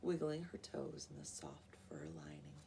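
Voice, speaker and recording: A woman teacher reading a picture book to young children in an expressive way, often prompting them with questions. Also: a bass voice, measured pace, vocal fry, distant mic, a little hiss or hum. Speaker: preschool teacher.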